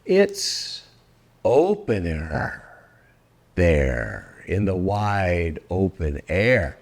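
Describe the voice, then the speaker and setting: Older guy reading a kids book out loud, really swoopy pitch, takes his time, kinda theatrical. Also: very animated, extremely slow, creaky. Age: 60s or older. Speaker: storyteller and host. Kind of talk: expressive children's storybook read-aloud.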